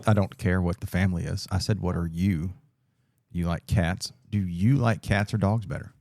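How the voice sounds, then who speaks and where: A man bantering on a podcast, blunt and profane, always joking. His voice is fairly low, recorded close and dry. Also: steady tone, moderate pace, gravelly, a little mellow, no room sound. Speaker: podcast host.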